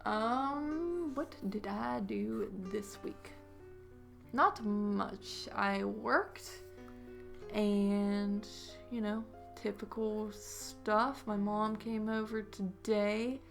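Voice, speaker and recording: Blunt woman podcaster with a gravelly creak. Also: medium pitch, expressive, extremely slow, faint music behind. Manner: blunt, argumentative. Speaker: podcast co-host. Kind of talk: casual banter.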